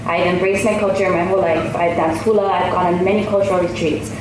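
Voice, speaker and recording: Lower-voiced woman, distant in an echoey hall. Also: steady tone, fast talker. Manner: polite, appreciative, a little hesitant. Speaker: public commenter. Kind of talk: polite public comment. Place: hotel ballroom hearing. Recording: echoey hall picked up from far off, with background noise.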